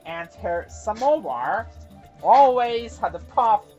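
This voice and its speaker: A woman's voice: on the high side, very animated, slow pace, darkish tone, highs cut off. Children's story host: woman, chatty and giggly, widely varied intonation, light music underneath. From Iran. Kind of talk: casual introduction and folktale narration for children.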